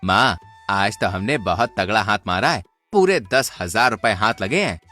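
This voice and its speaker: A man's voice: lowish voice, expressive, moderate pace, pushed and bright, soft background music, pitched below the performer's own voice. A man voicing a greedy, gullible village swindler in a cartoon moral story, with a scheming manner. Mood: gloating.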